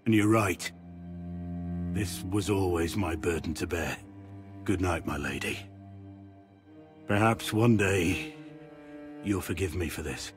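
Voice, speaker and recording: Here is a brooding, middle-aged game warrior weighed down by guilt. His voice sits deep and moves slowly. He is grave, courteous and formal, halting as he searches for words. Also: over music. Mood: resigned, remorseful. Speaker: video game companion character. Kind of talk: dramatic video game dialogue.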